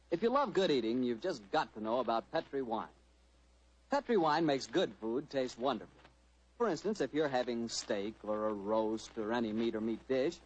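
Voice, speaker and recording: Animated, husky American radio announcer. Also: male voice, medium pitch, low-quality recording. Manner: folksy, emphatic salesmanship. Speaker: radio announcer and sponsor's pitchman. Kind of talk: 1940s radio advertising patter.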